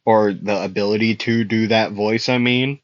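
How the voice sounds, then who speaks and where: A man's voice: fairly low pitch, fairly even intonation, normal speed, no room sound, dull audio. A young man casually telling a fan-made anime story, rambling with fillers and frequent laughs, his voice getting worn out. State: losing his voice.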